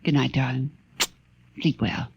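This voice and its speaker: A woman's voice: rumbling low, fairly even intonation, a little slow, creaky, darkish tone, breathy edge, highs cut off, heavily acted. An actress playing an indulgent, soothing mother in an old radio drama. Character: indulgent, soothing mother and army colonel's wife. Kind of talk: 1950s radio acting.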